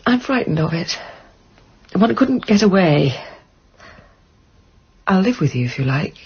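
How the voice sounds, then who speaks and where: Guarded, husky young woman. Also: on the low side, big pitch swings, measured pace, heavily acted. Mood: guarded, firm. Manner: blunt, guarded. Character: proud, guarded, weary young English woman. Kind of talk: radio drama acting. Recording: dull, muffled radio recording.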